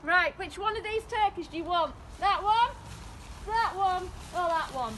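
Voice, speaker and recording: A woman playing a vain, daft stepsister with a shrill, hammy pantomime delivery. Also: expressive, unhurried, very noisy. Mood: fussy. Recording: echoey room, from a distance.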